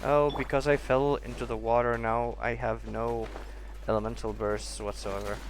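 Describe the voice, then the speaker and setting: A man's voice: mid-range voice, level tone, normal speed, low-quality recording. A man giving casual, off-the-cuff commentary while playing a video game. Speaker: gaming streamer. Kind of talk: casual gameplay commentary, reading in-game notes aloud. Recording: game music behind the voice. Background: video game music.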